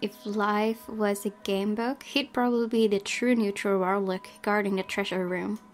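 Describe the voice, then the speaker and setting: A woman hosting a gaming video, reading game text aloud and reacting in an expressive, fretful way. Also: medium pitch, normal speed. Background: background game music.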